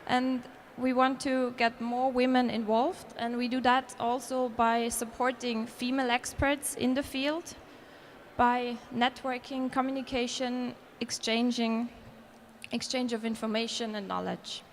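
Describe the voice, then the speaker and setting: High-voiced Austrian woman presenting. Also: flat delivery, a little slow, slight background noise. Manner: earnest, with restarts and self-corrections. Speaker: conference presenter. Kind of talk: conference presentation. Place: conference hall.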